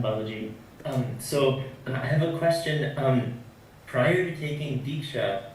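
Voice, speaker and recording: A man asking a teacher questions as a student, careful and hesitant, with roundabout phrasing, distant in an echoey space. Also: lowish voice. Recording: echoey, distant, faintly noisy microphone.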